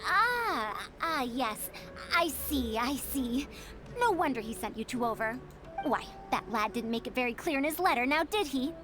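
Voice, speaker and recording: Very high-pitched, excitable woman scholar. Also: normal speed, music in the background. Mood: composed, explanatory. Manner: theatrical, old-fashioned phrasing. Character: excitable, proud young-sounding female scholar with old-fashioned speech.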